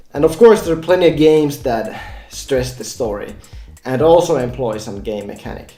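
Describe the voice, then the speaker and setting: A man's voice: mid-range voice, warm tone, reverberant. A man hosting a scripted explainer vlog, addressing viewers informally as he explains things step by step. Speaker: game developer and vlog host.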